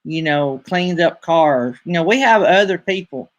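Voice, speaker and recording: A man's voice: on the high side, expressive, unhurried, lo-fi sound. A man with a Southern accent hosting a casual live-stream chat, rambling along with plenty of fillers. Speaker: host.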